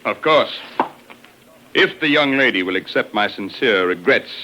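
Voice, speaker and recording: A man's voice: lowish voice, lively intonation, unhurried, bright, forceful tone. An actor playing an officious military man in a 1950s science fiction radio drama. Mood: formal, uneasy. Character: officious military man, curt below, formally deferential above. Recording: noisy old 1950s radio recording.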